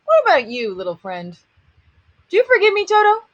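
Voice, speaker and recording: A woman's voice: very high voice, wildly swooping pitch, fairly quick, lo-fi sound, full character voice. A woman playing a proud, grudgingly helpful talking lion in a live fantasy table read, theatrical and haughty. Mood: softened.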